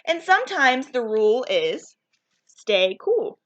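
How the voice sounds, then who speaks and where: A woman voicing a patient, gently firm female schoolteacher in a children's storybook, calm and instructive. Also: a little high, takes it slowly, dull audio.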